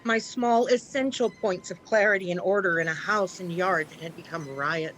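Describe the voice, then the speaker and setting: A woman's voice: on the low side, moderate pace, a bit bright, a little hiss or hum, lightly acted, poor audio. A woman playing an anxious, stubborn, distrustful mother in a scripted radio play. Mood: determined, sad. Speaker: actor in a college radio play. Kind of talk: scripted radio drama acting.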